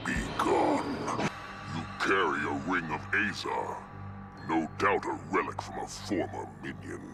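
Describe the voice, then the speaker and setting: Evil demon overlord, low breathy growl, creaky, menacing and smug. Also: male voice, sing-song, exaggerated voice. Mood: cold contempt. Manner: imperious, menacing, drawn-out. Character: gloating demonic overlord, contemptuous of mortals. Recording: loud music underneath.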